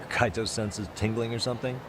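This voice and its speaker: An actor playing a cool-headed, wry private detective in a game dub, measured and dry. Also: male voice, mid-range voice, steady tone, background noise, close to an everyday voice. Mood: calm, earnest. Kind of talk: dubbed video game cutscene acting. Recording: music behind the voice.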